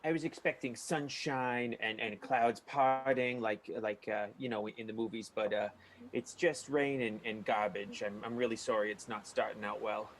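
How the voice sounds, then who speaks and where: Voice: medium pitch, steady tone, moderate pace, lightly acted. An actor playing a hesitant, apologetic man eloping with his sweetheart, stammering and flustered in an improvised radio play.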